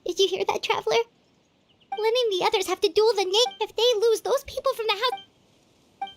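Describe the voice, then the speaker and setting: A woman voicing a tiny, excitable, anxious fairy companion in a video game, with a squeaky voice. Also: normal speed, slight background noise. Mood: worried, surprised.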